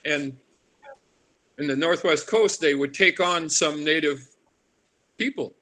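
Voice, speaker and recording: An older man from Alaska talking casually as an interviewed artist, in a halting, rambling way that restarts and drifts. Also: on the high side, bright, forceful tone. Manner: halting, rambling, with repeated starts and tangents. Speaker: artist and carver being interviewed. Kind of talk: casual reminiscing interview talk. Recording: noticeable background noise.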